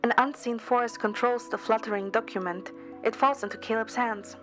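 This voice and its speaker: A woman's voice: fairly high pitch, moderate pace, a bit bright. A woman reading scripted first-person story narration as an amateur narrator. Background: background music.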